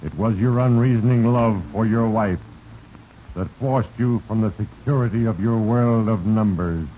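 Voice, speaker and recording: Male actor as sinister spirit narrator, very low voice, grave and deliberate, old radio drama, dull band-limited sound. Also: warm tone, close to an everyday voice. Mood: grave accusing. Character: Fate, sinister solemn male spirit narrator manipulating mortals. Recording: dull, noisy 1940s radio recording.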